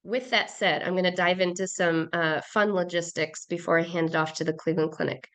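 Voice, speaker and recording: A hoarse woman, a program director, giving an upbeat webinar presentation over a video call, with many fillers and restarts. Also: lowish voice, fairly even intonation, fairly quick, fairly bright tone.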